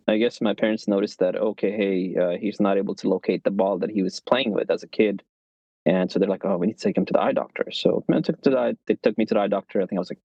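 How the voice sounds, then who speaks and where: A young man from Pakistan, a tech founder and podcast guest, explaining things in a relaxed way and joking about himself, recorded on a phone. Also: on the low side, creaky, breathy edge, no room sound. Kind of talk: casual interview storytelling. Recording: dull lo-fi, dry sound.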